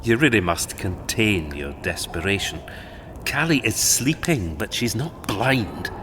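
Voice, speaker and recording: An actor playing a sly, complaining antlered immortal brother, with a dry, theatrical, teasing manner in an audio drama. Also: male voice, lowish voice, lively intonation, measured pace, background noise. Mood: teasing, exasperated.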